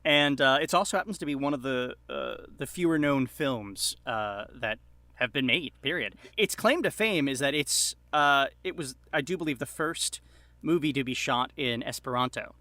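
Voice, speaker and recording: Bright-voiced man telling a story casually. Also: medium pitch, animated, dry recording. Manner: halting, rambling delivery full of hedges. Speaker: podcast guest, storyteller.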